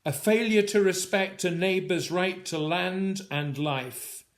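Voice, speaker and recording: A man reads his own narrative Bible poem in a measured, deliberate way, in short phrases set apart by pauses. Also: sits high. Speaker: poet reading own work. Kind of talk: dramatic poetry recitation of a Bible story.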